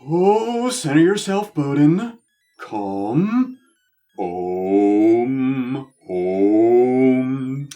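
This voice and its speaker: A man's voice: mid-range voice, sing-song, extremely slow, dark tone, soft background music. A man playing a vain actor turned agent in an audio comedy, pompous and flowery, putting on serene composure. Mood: strained calm. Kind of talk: scripted audio comedy acting.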